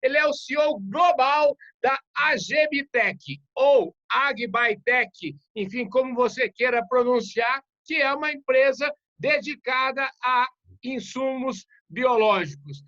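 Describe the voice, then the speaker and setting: A male podcast host chats with his guest in a loose, colloquial, joking manner. His voice is bright and forceful, and the recording sounds dull and lo-fi. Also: extremely high pitch, measured pace. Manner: chatty, colloquial, jokey. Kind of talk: casual interview hosting.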